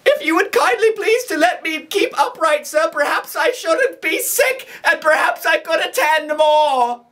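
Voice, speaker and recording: A man's voice: extremely high pitch, expressive, unhurried, sharp tone, far from the mic, pitched above the performer's own voice, exaggerated voice. A man voicing a timid, polite little boy who pleads deferentially in a storybook reading. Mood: pleading.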